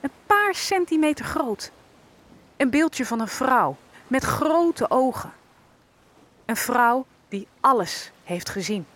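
A high-pitched female voice in a historical radio play, as an enslaved survivor looking back on her life. She is wry and knowing, and tells it slowly and deliberately. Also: sing-song, fairly bright tone, heavily acted. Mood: quiet, solemn. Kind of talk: dramatised first-person narration in an audio drama.

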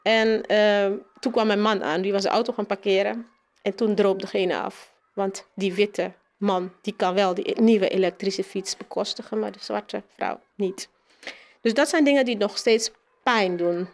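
A woman with a mid-pitched voice talks as an interviewed teacher. She speaks off the cuff, often restarting and repeating words as she goes. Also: steady tone, a little slow. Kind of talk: spontaneous interview answers.

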